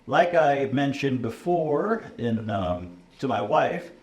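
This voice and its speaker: A man's voice: mid-range voice, lively intonation, takes it slowly, darkish tone. An American man in his thirties, a computer science instructor, talking casually in an interview with many ums and uhs and frequent "okay?" check-ins. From USA. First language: English. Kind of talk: relaxed conversational interview. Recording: roomy echo, faint room noise.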